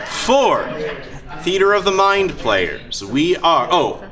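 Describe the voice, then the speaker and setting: A man hosting a live show, talking casually and off the cuff, with enthusiasm, halting asides and frequent hesitations. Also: on the high side, big pitch swings. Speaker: host of a live-streamed tabletop roleplaying show. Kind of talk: casual off-the-cuff talk to an audience. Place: live event room at a gaming convention. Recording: live convention room with crowd noise.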